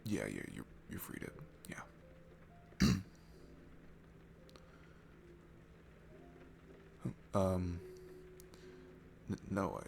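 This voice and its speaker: A man playing a shy, nervous, sweet young guy at a bar in an intimate ASMR roleplay, stammering and hesitant, with a soft gravelly voice that drops into hushed asides. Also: deep voice, sing-song, extremely slow, a little hiss or hum, exaggerated voice. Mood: flustered, nervous. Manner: hesitant, stammering, self-deprecating, breaking into hushed breathy asides. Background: music.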